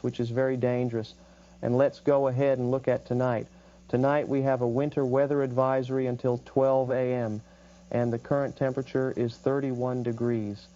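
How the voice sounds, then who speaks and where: A man as a local TV weather presenter, monotone, mellow tone, dull lo-fi sound, measured delivery. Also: mid-range voice, natural voice. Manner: steady, measured forecast delivery with small hesitations. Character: calm male TV meteorologist on a local station. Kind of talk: amateur broadcast role-play.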